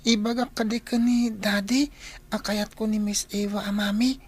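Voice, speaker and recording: A woman's voice: medium pitch, steady tone, moderate pace, husky, close to an everyday voice. A woman voicing an innocent, eager little boy in a radio drama. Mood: puzzled, innocent.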